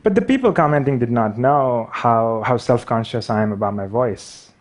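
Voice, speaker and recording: A man's voice: medium pitch, lively intonation, normal speed. Young guy w pakistani accent giving a talk, dry self-deprecating jokes, bit of a stutter. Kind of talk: prepared talk with humor.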